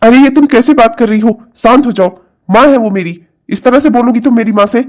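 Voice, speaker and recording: A man's voice: high voice, steady tone, a little fast, mellow, natural voice. A man playing a young married son in a family drama, speaking earnestly as the household peacemaker. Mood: reproachful. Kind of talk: melodramatic family story acting.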